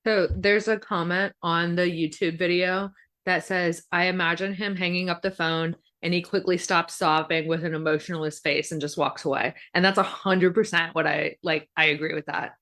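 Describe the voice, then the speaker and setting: A woman's voice: fairly low pitch, fairly even intonation, moderate pace, a bit bright. A woman co-hosting a podcast in casual reactive chat, blunt and full of opinions. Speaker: podcast co-host.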